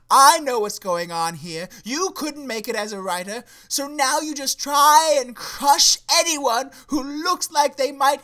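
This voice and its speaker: A man's voice: very high-pitched, bright, forceful tone, exaggerated voice. A man voicing a young student Shakespeare in a puppet comedy, theatrical and thin-skinned, with a melodramatic, pleading delivery. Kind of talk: puppet show comedy acting.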